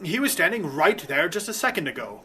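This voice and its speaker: A man's voice: a little high, big pitch swings, a little fast, sharp tone, husky, full character voice. A man playing a befuddled, stammering middle-aged husband in a 1940s-style radio comedy. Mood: flustered. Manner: stammering, bumbling, exasperated.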